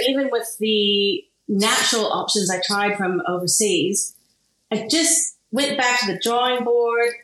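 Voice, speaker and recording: A woman from Kansas in her forties, answering interview questions in an animated way and laughing often. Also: medium pitch. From Kansas, USA. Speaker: guest, product founder. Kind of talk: interview conversation. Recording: very echoey room, faint noise.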